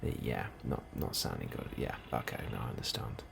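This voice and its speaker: A man in a soft, hushed ASMR role-play, speaking calmly and unhurriedly as a helpful colony immigration officer, close to the microphone. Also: a bass voice. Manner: hushed, unhurried, sometimes dropping into whisper. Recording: clearly audible background ambience noise.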